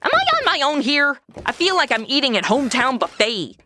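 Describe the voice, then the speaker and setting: A woman's voice: high-pitched, wildly swooping pitch, fairly quick, sharp tone, a little hiss or hum, full character voice. A woman playing a sarcastic, wisecracking modern young woman in an old west comedy audio drama. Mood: wry. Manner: sarcastic, quippy. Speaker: title character.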